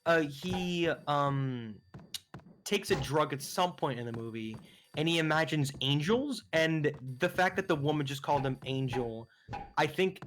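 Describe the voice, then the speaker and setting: A man chatting casually as a guest on a game livestream, rambling and excitable, on a noisy mic with clicks. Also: a little high, a little slow.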